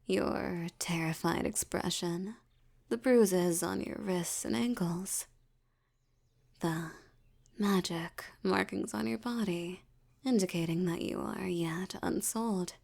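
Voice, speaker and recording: A woman playing a haughty, predatory female vampire in intimate audio roleplay, teasing and condescending, with a breathy murmur that slips toward a whisper. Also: fairly low pitch, darkish tone, heavily acted. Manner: teasing, condescending, slow deliberate delivery.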